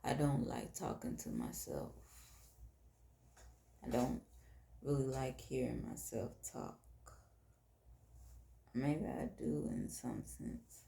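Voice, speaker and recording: A woman with a Southern US accent and a hoarse, tired voice, hosting an intimate late-night podcast monologue in deliberate, drawn-out phrases. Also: very deep voice, huge pitch swings, dark tone, roomy sound. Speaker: podcast host.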